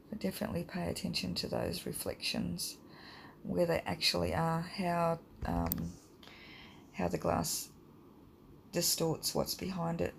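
A woman with a croaky voice from hay fever, teaching a drawing lesson in a relaxed, rambling way with many ums. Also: fairly low pitch, a little hiss or hum, rough recording. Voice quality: croaky, gravelly. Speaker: art teacher. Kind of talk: casual drawing tutorial voice-over.

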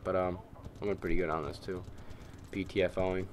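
A man's voice: low-pitched, flat delivery, a little fast. A young man with a tired, scratchy voice, rambling and swearing in casual commentary over his gameplay. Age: young adult. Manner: rambling, ranting, heavy swearing. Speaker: gamer.

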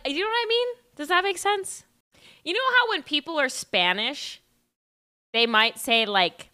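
Young woman streamer, bright voice with a lot of movement, chatty, rambling reaction commentary on a studio mic. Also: medium pitch. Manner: chatty, rambling, laughs often. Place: home room, indoors. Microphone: studio mic on boom, headset.

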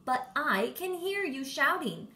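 A large, stern storybook toad, acted by a woman reader. He scolds firmly and takes his time. The pitch moves around a lot. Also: very animated, unhurried, pushed and bright, exaggerated voice. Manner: firm, reproving.

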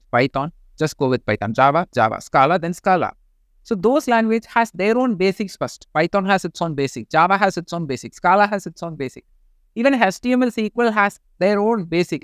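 Indian-English man, thirties, high voice, tech vlogger, dead-dry close mic. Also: dry recording. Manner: animated, gestures a lot while explaining. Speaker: tech YouTuber. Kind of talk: casual talk to camera. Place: small home studio room.

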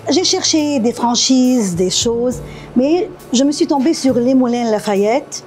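A woman's voice: high voice, animated, measured pace, darkish tone, faint music behind. A middle-aged woman from Lebanon with a Lebanese accent, answering interview questions conversationally as a café owner. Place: indoor café.

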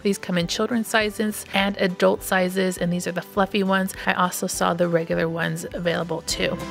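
A woman's voice: lowish voice, level tone, normal speed, vocal fry, over music. A woman narrating a shop-with-me vlog, upbeat and gushing over the items she shows. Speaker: shopping vlogger.

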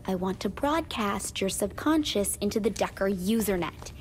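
A woman playing a paranoid, deadpan young computer hacker in a video game, talking in a dry, matter-of-fact way. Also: medium pitch, moderate pace, slight background noise, lightly acted. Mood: terse, exasperated. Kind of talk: video game cutscene acting. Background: background music.

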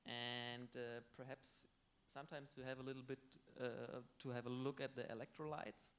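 A man's voice: medium pitch, little pitch movement, normal speed, a bit bright, a little hiss or hum. A man on a conference panel explaining an answer in a matter-of-fact way, on a handheld mic in a large hall. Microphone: handheld microphone.

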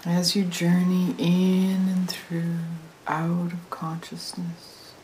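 Female meditation guide: low-pitched, soothing, very unhurried, breathy near-whisper, trance-induction delivery. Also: poor audio. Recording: hissy recording with steady noise.

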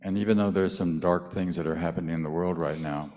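An older American man giving a calm talk in short phrases before a hall audience, pausing for an interpreter. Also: low-pitched. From United States. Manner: calm, speaks in short phrases, laughs lightly. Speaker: speaker. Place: large hall with audience, indoors. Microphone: handheld mic.

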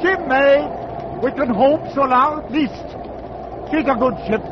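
Male ship's captain, stoic and matter-of-fact, high raised voice, 1940s radio drama under loud storm noise. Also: unhurried, dull audio. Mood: firm, understated.

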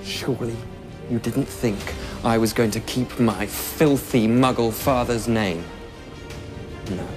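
Young male actor as contemptuous British wizard villain, medium pitch, clipped, loud film score. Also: slow, lightly acted. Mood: proud contempt. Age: young adult. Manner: haughty, clipped. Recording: film clip under loud music.

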